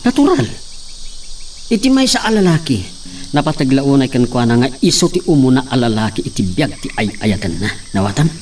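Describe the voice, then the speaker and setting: A man acting a pushy, self-justifying suitor in a romantic drama, with a swaggering, drawn-out delivery. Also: medium pitch, big pitch swings, darkish tone, far from the mic, a little hiss or hum, lightly acted.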